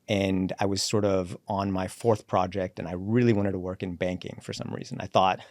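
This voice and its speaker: A man in his forties, a company CEO born in Sri Lanka and raised in Canada, telling his career story in a relaxed podcast interview and acting out the dialogue he quotes. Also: low voice, dry recording, clean studio sound. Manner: reflective, brisk storyteller who acts out quoted dialogue.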